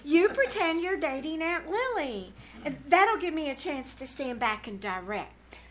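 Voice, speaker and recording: A woman's voice: sits high, sing-song, measured pace, fairly bright tone, slight background noise, exaggerated voice. A woman playing a bossy, scheming teenage girl in old-time radio comedy style, emphatic and wheedling. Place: live on a small theater stage.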